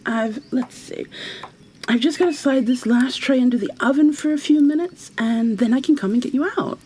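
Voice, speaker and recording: A woman playing a tender, possessive kidnapper in an ASMR roleplay, speaking softly and haltingly, often slipping into a breathy whisper as she coaxes. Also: high-pitched, a little hiss or hum, natural voice. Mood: doting, soothing. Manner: coaxing, gentle, halting. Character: tender, possessive female kidnapper doting on her captive lover.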